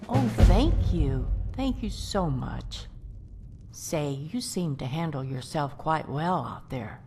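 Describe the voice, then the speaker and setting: A woman playing a feisty old grandmother adventurer in a video game, telling things in a folksy, unhurried way. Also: lowish voice, a little mellow, slight background noise. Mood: grateful, eager. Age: older. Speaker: companion character in a game mod. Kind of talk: voiced game character dialogue, storytelling.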